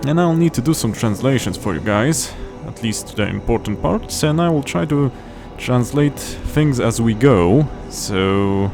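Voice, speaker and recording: A man's voice: medium pitch, gravelly. A young man chatting casually as host of a strategy-game playthrough, often restarting his phrases as he explains. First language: Polish. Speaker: gaming channel host. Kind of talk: casual gameplay commentary.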